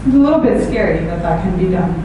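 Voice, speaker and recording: A woman presents research and answers audience questions, pausing often with ums. Her voice sits a bit low and comes from a distance in a very echoey room with steady background noise. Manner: hesitant, many ums. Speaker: speaker. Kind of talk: academic talk with Q&A. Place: meetup venue hall. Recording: echoey hall, distant mic, noisy.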